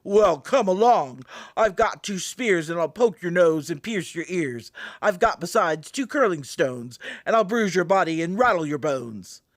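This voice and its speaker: Big storybook billy goat, male, bold challenger, hoarse and animated female narrator performing. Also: fairly low pitch, measured pace, no room sound, studio quality. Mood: defiant. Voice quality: ugly hoarse voice. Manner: boastful, challenging. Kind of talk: storybook character voice.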